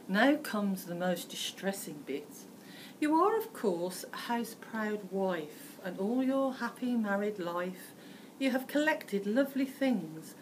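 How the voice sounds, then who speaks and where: A woman's voice: mid-range voice, low-quality recording. A British woman reading a rhyming storybook aloud like a teacher, theatrical and emphatic, with some background noise. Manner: theatrical, emphatic, deliberate phrasing. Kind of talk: dramatic rhyming storybook narration.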